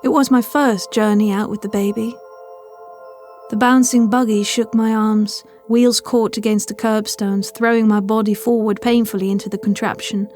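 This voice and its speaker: A woman's voice: medium pitch, little pitch movement, normal speed, a little mellow, soft background music. A woman narrating a scripted first-person horror story as an audio fiction reader.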